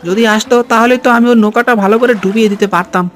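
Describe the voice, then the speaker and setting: A man voicing a sneaky, excitable henchman who fawns on his village boss in a cartoon. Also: sits high, very fast, soft background music, natural voice. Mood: tattling. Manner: obsequious, excitable. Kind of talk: Bengali cartoon voice acting.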